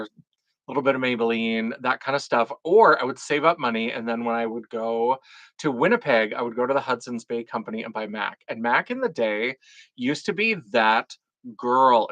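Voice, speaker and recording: A man's voice: mid-range voice, a bit bright, dry recording, rough recording. A man who performs in drag, hosting a casual live unboxing stream with a froggy, phlegmy throat, chatty and swearing now and then. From North Dakota. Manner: chatty, swears casually, greets chat viewers. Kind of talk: casual livestream chat.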